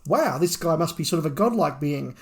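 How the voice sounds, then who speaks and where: A man's voice: fairly high pitch, animated, a little fast. A man co-hosting a podcast, talking through a review casually and thinking aloud as he goes. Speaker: podcast co-host.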